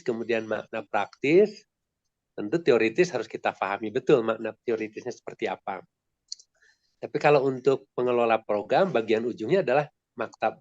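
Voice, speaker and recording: A man's voice: mid-range voice, dry recording, lo-fi sound. A man with a nasal, congested voice from a sore throat, asking polite, rambling questions in an online book discussion. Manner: polite, rambling, many restarts. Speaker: discussion participant. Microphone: video call.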